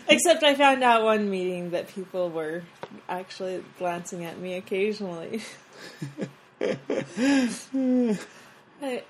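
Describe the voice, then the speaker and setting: A woman chatting casually and reading from a church talk, quick to giggle. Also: mid-range voice, expressive, slight background noise. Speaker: podcast co-host. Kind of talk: casual chat and reading aloud.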